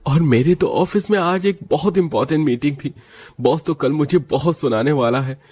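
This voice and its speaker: A man's voice: on the high side, normal speed, darkish tone, slightly rough, voice pushed up. A man voicing a frustrated young office worker who complains to his family in a moral story. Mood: worried, complaining. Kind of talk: animated moral story dialogue.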